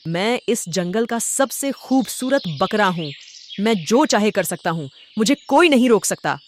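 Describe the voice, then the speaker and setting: Casting: vain bully of a goat played by a woman, loud scolding cartoon delivery, faint birds behind. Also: medium pitch, lively intonation, a little slow, slight background noise, close to an everyday voice. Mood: angry, boastful. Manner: haughty, scolding.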